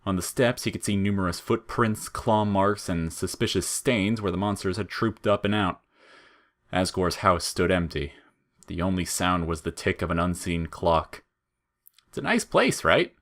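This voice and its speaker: A man narrating scripted audio fiction in a measured storytelling manner. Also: low-pitched, steady tone.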